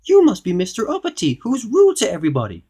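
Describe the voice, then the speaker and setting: A man's voice: high voice, huge pitch swings, fast talker, warm tone, husky, pitched above the performer's own voice, full character voice. A man voicing a small, mischievous goblin in a children's storybook, with a taunting, sing-song delivery. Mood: cheeky. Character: small mischievous male goblin, cheeky and grinning. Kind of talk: storybook character voice.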